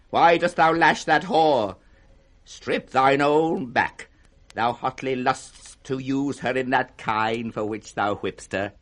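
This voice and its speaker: A mad old British king in a 1960s radio Shakespeare play. He declaims slowly and grandly, lurching between roaring scorn and hushed brooding. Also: medium pitch.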